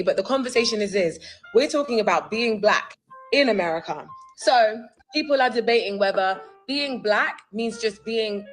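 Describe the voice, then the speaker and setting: Woman, social media commentator, earnest, explaining, faint background music. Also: mid-range voice. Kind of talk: direct-to-camera social video.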